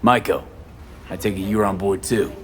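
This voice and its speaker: An actor playing a street-hardened young mercenary in a video game, terse, steady and low-key. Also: male voice, normal speed, lightly acted. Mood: measured, curious. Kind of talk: video game cutscene acting. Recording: music behind the voice.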